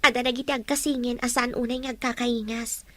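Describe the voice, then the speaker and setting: A woman's voice: fairly high pitch, fairly even intonation, fast talker, pushed and bright. A woman acting an inquisitive, dutiful young Filipino daughter in an Ilocano audio drama, with a Filipino accent. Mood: explaining.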